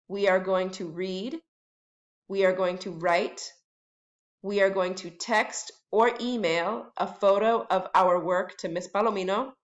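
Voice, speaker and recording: Slow, patient woman teacher drilling phrases. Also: medium pitch, dull audio. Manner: models each phrase slowly several times, then translates into Spanish. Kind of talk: bilingual classroom lesson, slow repeated drills. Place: at home.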